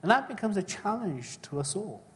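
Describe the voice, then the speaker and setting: A man's voice: high voice, big pitch swings, moderate pace, a bit bright. Man preaching in a reverberant hall. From born in Kenya, raised in England. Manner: conversational, asks the congregation frequent tag questions; clears throat often. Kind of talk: sermon with personal testimony.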